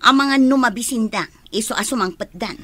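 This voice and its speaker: Woman playing a husky scheming stepmother. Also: a little high, fast talker, a bit bright, dry recording. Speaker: radio drama actor.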